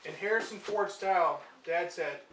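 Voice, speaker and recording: A woman's voice: on the low side, normal speed, fairly bright tone. An American woman reading a scripted family story aloud as a puppet show narrator. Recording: echoey, very noisy room recorded from far off.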